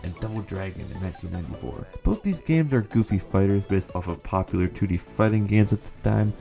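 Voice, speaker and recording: A man's voice: fairly low pitch, brisk, vocal fry, warm tone, soft background music. A man narrating a scripted video essay, giving opinionated commentary with a sarcastic edge.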